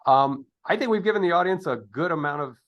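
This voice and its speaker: A man hosting a casual interview podcast, speaking haltingly as he thinks aloud. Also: on the high side, expressive, highs cut off.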